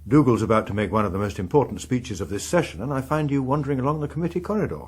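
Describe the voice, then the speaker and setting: Husky, guarded political fixer. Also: male voice, medium pitch, lively intonation. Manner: measured, formal, evasive. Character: suave, guarded veteran politician, a chief whip. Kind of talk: naturalistic political drama dialogue.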